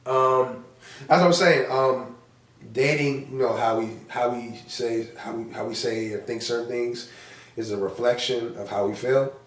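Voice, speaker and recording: A hoarse man chatting on a podcast as co-host, rambling, repeating himself and cursing freely. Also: mid-range voice, steady tone, a little slow, echoey room, far from the mic. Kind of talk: casual podcast chat.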